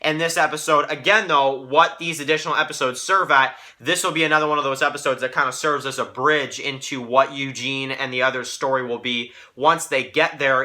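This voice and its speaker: A man's voice: medium pitch, sharp tone. A man hosting a casual review video, talking to fans in a rambling way and often repeating himself. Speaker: host of a TV review channel.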